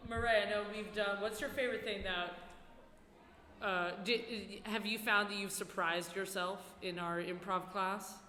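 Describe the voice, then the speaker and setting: A woman's voice: medium pitch, steady tone, unhurried, bright, forceful tone. A woman hosting a casual, conversational interview. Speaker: interviewer, improv teacher. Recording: roomy echoing space with faint noise.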